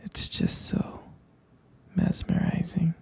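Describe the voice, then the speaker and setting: A man performing a romantic ASMR roleplay in a breathy, husky whisper, speaking tenderly and adoringly with halting pauses.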